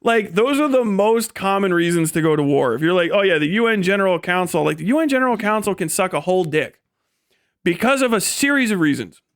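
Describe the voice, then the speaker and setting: High-voiced man ranting off the cuff. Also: brisk, no room sound, studio quality. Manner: sweary, blunt and sardonic; animated, acting out imagined dialogue. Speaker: livestream host. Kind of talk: opinionated off-the-cuff livestream rant.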